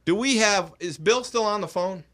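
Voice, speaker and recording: Guy hosting a talk show, kinda high voice, rambling and joking around. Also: moderate pace, a bit bright. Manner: jokey, rambling, frequent laughs and fillers. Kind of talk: casual radio talk show chat.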